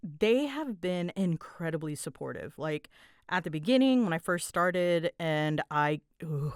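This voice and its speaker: A woman's voice: on the low side, lively intonation, unhurried, clean tone, no room sound, clean studio sound. A woman chatting casually and animatedly as she answers listener questions, with a giggly, self-deprecating manner. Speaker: hobbyist audio roleplay creator answering listener questions.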